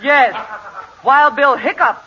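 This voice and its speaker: An actor playing a chief's dim daughter in slow broken English in a 1940s radio comedy. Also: male voice, very high-pitched, exaggerated voice. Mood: stubborn. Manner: slow, flat pidgin phrasing. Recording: muffled, noisy 1940s radio recording.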